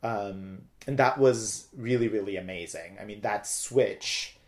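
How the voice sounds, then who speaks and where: Middle-aged male interview guest, lowish pitch, talkative and casual. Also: measured pace. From Turkey. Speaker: interview guest, theater director. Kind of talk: casual personal storytelling in an interview.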